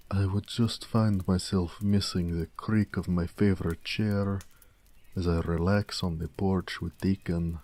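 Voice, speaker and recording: A man voicing a gentle, peace-loving orc blacksmith in an intimate romantic audio roleplay, speaking tenderly and softly with a wistful air. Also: low voice. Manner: soft, measured, tender delivery with hushed moments.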